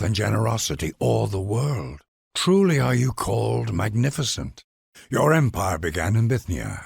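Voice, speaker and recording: A man's voice: on the low side, vocal fry, breathy edge, dry recording, studio quality. A man reading scripted grand historical narration for a video game in a solemn, formal, stately manner.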